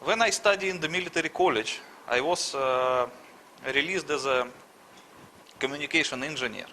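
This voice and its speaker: A middle-aged man with a Russian accent answers questions in a halting, word-searching way. His voice is bright and forward. A faint background ambience sits under the recording. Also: fairly high pitch, a little hiss or hum. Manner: halting, searches for words, frequent restarts. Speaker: interviewee. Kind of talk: conversational interview answers.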